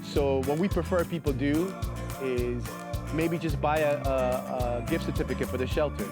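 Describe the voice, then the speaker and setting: A man's voice: mid-range voice, moderate pace, over music. A man working as a spokesman, explaining things informally in an interview. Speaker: public information officer, interviewee.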